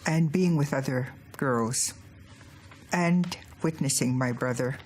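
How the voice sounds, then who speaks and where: A woman in her sixties from Alberta, Canada, speaking about her past in a measured, articulate way. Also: rumbling low, a little mellow. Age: 60s. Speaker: residential school survivor. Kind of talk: interview testimony.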